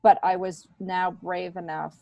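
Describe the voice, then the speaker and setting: A woman in her fifties telling stories in a casual interview, in an emphatic, animated way, as a guest comedian and writer. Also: fairly low pitch, measured pace, gravelly, no room sound, lo-fi sound. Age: 50s.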